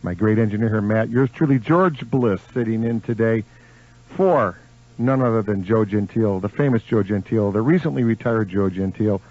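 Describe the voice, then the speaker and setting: A man's voice: fairly low pitch, moderate pace. An American man hosting a live local radio show in a folksy, rambling way, repeating phrases for emphasis. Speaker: radio host. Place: radio studio. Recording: muffled lo-fi AM radio recording.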